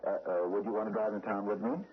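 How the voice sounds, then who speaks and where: A man's voice: lowish voice, fairly even intonation, fast, natural voice. An actor playing a timid, mild-mannered American man who stammers nervously and placates, in 1970s radio drama style. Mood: uneasy, placating. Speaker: actor in a radio mystery play. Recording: muffled, noisy old radio broadcast recording.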